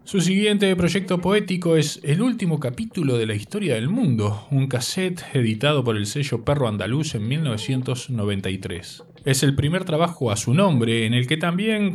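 A man from Uruguay, reading a prepared radio text as the presenter in a measured way. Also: mid-range voice, darkish tone, far from the mic. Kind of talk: scripted radio narration.

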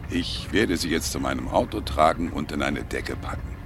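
An actor playing a burly, helpful middle-aged plumber in a radio play, with a down-to-earth manner. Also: male voice, a bass voice, normal speed, gravelly. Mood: reassuring. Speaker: rescuer.

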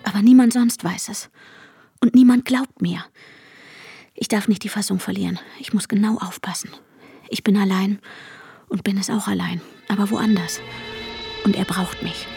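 An actress playing an anxious wife searching for her missing husband in a radio drama, urgent and breathy, often slipping toward a whisper. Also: mid-range voice, fairly even intonation, normal speed, pauses often, warm tone, no echo. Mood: tense, inward. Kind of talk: radio drama acting with intimate inner monologue. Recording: guitar music behind the voice. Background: guitar music.

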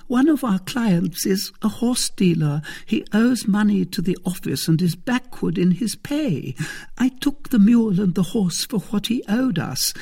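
Audiobook role: anxious, wheedling wife, very high and breathy, unhurried and apologetic. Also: male voice, lively intonation, warm tone, exaggerated voice. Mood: defensive, apologetic. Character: pleading, flustered middle-class wife, breathy and anxious.

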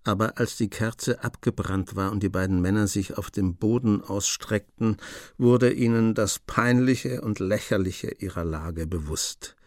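A man's voice: fairly low pitch, measured pace, dry recording, clean studio sound. A man narrating a radio crime drama in a scripted storytelling style.

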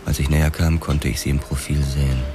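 Cool young cop narrator, noir radio drama: extremely low pitch, understated, music underneath. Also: male voice, little pitch movement, a little fast. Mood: cool, watchful. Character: cool young boxer cop telling his own story. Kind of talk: first-person noir narration.

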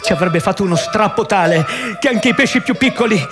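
A man narrating radio theatre with wry commentary, in an expressive, ironic storytelling manner. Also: high voice, fairly quick. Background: music.

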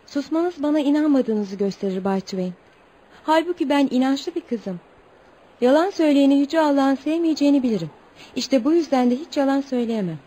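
A woman's voice: high voice, lively intonation, fairly quick, mellow, low-quality recording. An actress playing an earnest, naive and proud young woman telling her story politely in a radio play. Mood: earnest, apologetic. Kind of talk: radio theatre acting.

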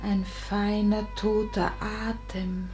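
A woman as a sorrowful mother's ghost, very slow. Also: mid-range voice, little pitch movement, darkish tone, lightly acted. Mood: wistful sorrow. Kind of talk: declamatory verse radio drama acting. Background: music.

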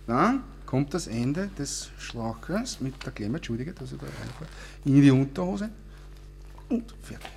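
A man's voice: mid-range voice, big pitch swings, measured pace, creaky, a little mellow, slight background noise. An actor playing a breezy, shady man with an Austrian accent, colloquial and bossy, in a naturalistic radio drama. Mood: instructing. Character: breezy, shady Austrian man, Mike, showing off his scheme.

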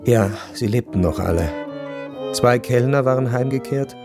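A man narrating a first-person literary radio drama in a measured, reflective way. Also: medium pitch, music in the background.

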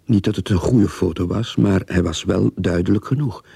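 A man acting a terse detective who narrates his own case in a radio drama, in a gravelly, husky voice. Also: deep voice, normal speed, mellow, dry recording, clean studio sound, heavily acted. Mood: grim, matter-of-fact.

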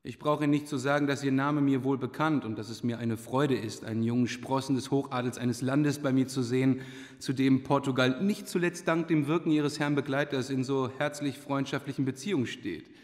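A man's voice: medium pitch, steady tone, fairly quick, darkish tone, close to an everyday voice. Actor as a gracious, hesitant king, echoing hall. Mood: genial, welcoming. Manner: courtly, formal, with small hesitations. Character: king in his thirties, genial, gracious, hesitant, wistful. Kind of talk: literary radio play dialogue.

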